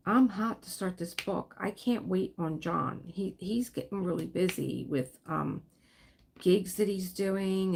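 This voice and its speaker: A woman hosting a relaxed livestream, chatting in a rambling way while she crafts and answers viewers. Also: lowish voice, creaky, slight background noise. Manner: rambling, chatty, laughs often, reads and answers viewer comments. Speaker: livestream host. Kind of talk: casual livestream chat while diamond painting.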